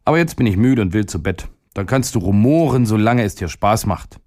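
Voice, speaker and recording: A man's voice: fairly low pitch, animated, a little fast, darkish tone, voice pushed up, lightly acted. A man voicing a cheeky, stubborn little boy in a storybook reading, speaking with defiant indignation. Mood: indignant.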